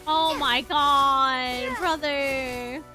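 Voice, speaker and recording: High-voiced woman streaming over game music. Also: steady tone, drawn-out words. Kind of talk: casual game reaction commentary.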